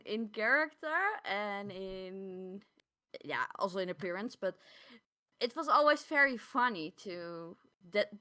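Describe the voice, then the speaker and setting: A woman's voice: fairly high pitch, bright, forceful tone. A woman co-hosting a podcast in a casual, conversational style. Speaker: podcast co-host.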